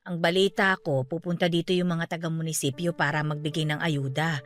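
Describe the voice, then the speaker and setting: A woman's voice: deep voice, little pitch movement, a little fast, lightly acted. A woman acting a caring, grieving middle-aged aunt in a Tagalog radio drama, in natural conversational dialogue. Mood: resigned, practical. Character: grieving aunt who just lost her parents, caring, steadfast. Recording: music bed under the voice. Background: background music.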